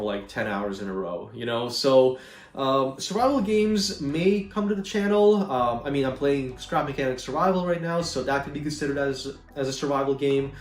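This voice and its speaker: A young man from Ukraine with a Canadian accent and a slight Slavic tinge, chatting casually as he answers viewer questions with lots of fillers. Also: medium pitch. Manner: relaxed and chatty, many fillers. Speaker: gaming YouTuber. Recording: roomy echo, a bit far from mic, faint music.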